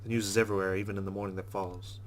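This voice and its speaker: A man's voice: low-pitched, flat delivery, racing, a little hiss or hum. A man hosting a let's play, reading visual novel narration aloud expressively and dropping into casual asides.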